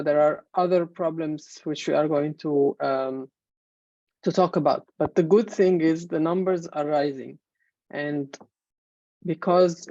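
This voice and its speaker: A woman in her thirties from Egypt, a native Arabic speaker, giving a measured presentation in an online webinar with many fillers. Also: sits low, warm tone, no room sound. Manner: measured, explanatory, frequent fillers. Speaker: main speaker. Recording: dull, muffled webinar audio.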